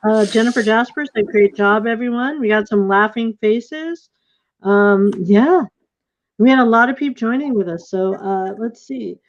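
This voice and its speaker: High-voiced effusive woman hosting, dull audio. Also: normal speed, slight background noise. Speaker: host and play director. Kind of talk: informal online event hosting. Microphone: video call. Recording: dull, lo-fi video call audio.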